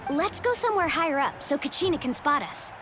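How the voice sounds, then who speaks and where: A young warrior woman in a video game. Her voice is high and expressive, earnest and fiercely loyal. Music plays behind her. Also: fairly quick. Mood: friendly, upbeat. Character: upbeat, loyal young warrior woman, quick to defend friends. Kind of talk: English video game voice acting.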